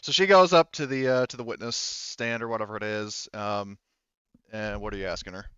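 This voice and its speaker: A man's voice: lowish voice, steady tone, brisk, sharp tone, clean tone, no room sound, lo-fi sound. A man running a tabletop game as game master, narrating off the cuff and thinking aloud in a conversational way. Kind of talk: improvised tabletop game narration and casual chat.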